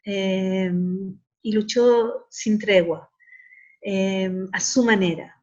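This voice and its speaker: A woman's voice: medium pitch, steady tone, drawn-out words, a little mellow. Muffled recording of a reflective woman. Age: middle-aged. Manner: earnest, searching for words with repeated starts. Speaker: academic interviewee. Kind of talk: reflective interview talk.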